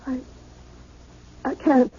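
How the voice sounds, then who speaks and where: An actress playing a fragile, sad, frightened young woman in a 1970s radio drama, with an anxious, plaintive, halting delivery. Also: mellow. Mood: downcast, tearful. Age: young adult. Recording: dull lo-fi 1970s radio recording.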